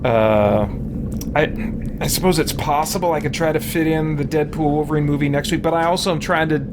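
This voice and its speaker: A man's voice: a little high, fairly quick, background noise. A middle-aged man livestreaming a game, chatting casually and rambling as he thinks aloud. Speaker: livestream host and gamer. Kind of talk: casual chat while playing a game.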